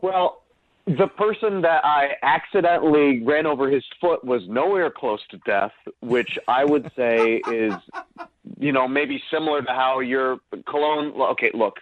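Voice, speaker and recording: A man calling in to a radio dating segment over the telephone, talking casually in a defensive, halting way. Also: mid-range voice, dry recording. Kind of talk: casual phone argument. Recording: thin, dry phone line.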